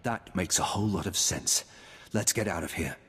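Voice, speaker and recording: A man's voice: low voice, normal speed, a bit bright, breathy edge, in a large hall, soft background music, full character voice. An actor playing a plain-spoken, suspicious male trooper in a video game.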